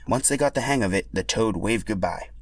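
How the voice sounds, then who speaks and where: A man narrating a scripted story for a podcast in an animated, expressive way. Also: fairly low pitch, brisk, slightly rough, no echo, clean studio sound.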